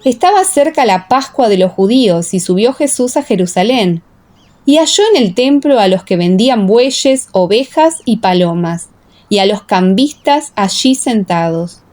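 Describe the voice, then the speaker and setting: A woman's voice: mid-range voice, animated, measured pace, a little mellow. A woman narrating a dramatized Bible audiobook in a solemn, carefully phrased way.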